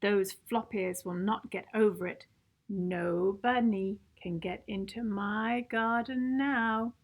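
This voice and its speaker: A woman's voice: mid-range voice, fairly even intonation, takes it slowly. A woman reading as a grumbling, boastful male gardener in a children's storybook, making emphatic, self-satisfied declarations. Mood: huffy.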